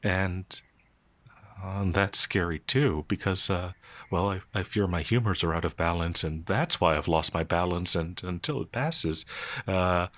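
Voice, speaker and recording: A man playing a gentle, anxious werewolf outlaw in a fantasy ASMR roleplay, hesitant, stammering and apologetic. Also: low-pitched, no room sound. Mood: timid, confiding. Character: gentle, timid, anxious male werewolf outlaw, easily frightened.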